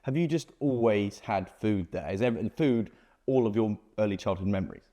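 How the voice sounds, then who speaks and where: A man hosting an interview, upbeat and enthusiastic, firing off quick questions. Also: fairly low pitch, dark tone. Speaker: podcast host. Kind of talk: casual interview.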